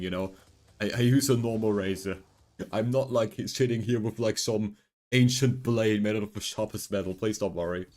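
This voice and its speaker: A man in his twenties with a deep voice, streaming a game and rambling casually, reassuring his chat with lots of fillers. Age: 20s.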